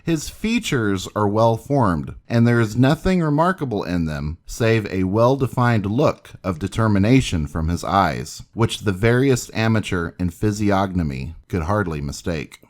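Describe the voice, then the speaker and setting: A low-voiced man narrates history from a script. His intonation rises and falls widely, and he tells it in a measured, dramatic storyteller's way. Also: expressive.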